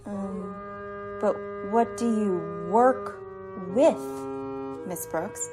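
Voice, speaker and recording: A woman's voice: medium pitch, drawn-out words, over music. A brash, sassy showgirl, played by a woman in a radio comedy. She talks bluntly and skeptically in a mid-range voice. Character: brash, skeptical female stage dancer named Mitzi. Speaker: actor in a radio play. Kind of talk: comedic old-time radio play acting.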